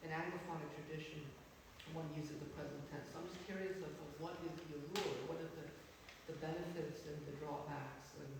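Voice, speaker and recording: A man asks questions in a polite, halting way, filling the gaps with fillers. His voice is gravelly and a bit high for a man. He is heard from a distance in a very echoey hall, over clear background noise. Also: steady tone. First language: Vietnamese. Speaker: audience member asking questions. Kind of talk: questions at a public Q&A.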